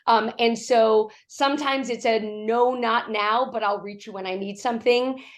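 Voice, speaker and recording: A middle-aged woman with a slightly high voice, raspy and worn from a cough. She talks through her points as an interview guest, acting out the lines of people in her stories. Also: normal speed. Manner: animated storytelling, acts out quoted lines. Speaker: executive coach, guest. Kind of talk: conversational interview answers.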